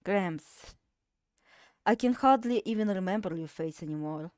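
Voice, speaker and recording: A woman with a Russian accent voicing an aged, lonely witch in a game, reading her lines slowly and quietly. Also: medium pitch, animated, no echo, clean studio sound, close to an everyday voice. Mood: wistful.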